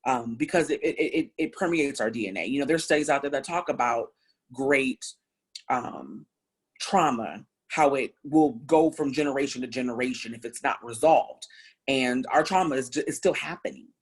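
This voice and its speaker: Woman, 30s, from Ohio, deep husky voice, earnest reflective panelist telling her own story. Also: normal speed. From Columbus, Ohio, US. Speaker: panel speaker. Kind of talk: personal testimony in a panel talk.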